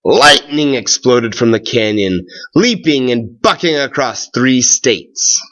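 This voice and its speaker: A man reads a children's story aloud at a slow, deliberate pace. His pitch moves up and down in a lively way as he stretches words and pauses between phrases. The recording sounds a little dull and lo-fi. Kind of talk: read-aloud of a children's tall tale.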